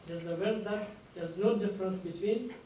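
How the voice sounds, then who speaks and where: A man with a Turkish accent asks a question from the audience, halting and searching for his words. His voice sits high for a man. He is picked up from far away in a very echoey hall with faint room noise. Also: dark tone. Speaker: audience member asking a question.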